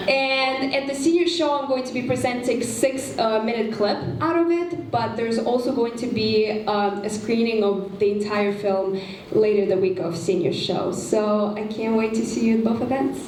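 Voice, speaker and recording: A young woman in her late teens whose first language is Russian. She introduces her student project in a chatty, self-mocking and lively way. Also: fairly high pitch, moderate pace, slight background noise. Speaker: student presenter. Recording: roomy hall from a distant mic.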